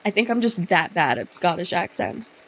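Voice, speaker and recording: A woman's voice: on the low side, very animated, moderate pace. A woman streaming a game, chatting casually and joking about how silly her reading voices sound. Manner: self-mocking, chatty, laughs at her own accents. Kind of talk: casual live-stream chat while gaming.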